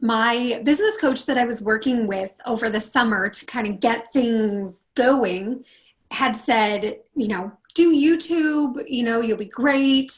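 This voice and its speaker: A woman with a husky, hoarse voice, speaking as a podcast guest in a casual interview and acting out quoted dialogue with big jumps in pitch. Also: unhurried. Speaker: podcast guest, confidence consultant.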